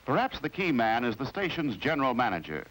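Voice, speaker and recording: A man with an American accent narrates a 1950s training film from a script in a formal, measured, explanatory way. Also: medium pitch, very animated, a bit bright, rough recording. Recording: vintage 1950s film soundtrack with music.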